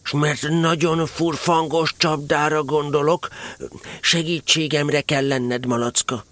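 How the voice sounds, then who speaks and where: A man voicing an earnest, slow-witted male teddy bear in a storybook, talking slowly and in a hushed way. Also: fairly high pitch, fairly even intonation, dry recording, voice pushed up. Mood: ponderous.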